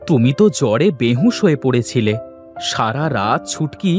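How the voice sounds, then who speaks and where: Man acting animated, caring village father. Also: mid-range voice, normal speed, soft background music, lightly acted. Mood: gentle, explaining. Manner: expressive, concerned. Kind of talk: Bengali cartoon voice acting.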